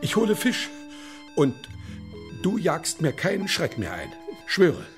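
A man playing a grumpy, suspicious older man in a children's radio play, gruff and scolding, with a raspy voice. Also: fairly high pitch, huge pitch swings, slow pace, faint music behind, heavily acted. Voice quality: husky, raspy.

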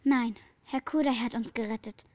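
Woman doing a brave young girl for an audio drama, highish soft warm voice, earnest. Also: steady tone, normal speed, dry recording, natural voice. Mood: calm. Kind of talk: radio play acting.

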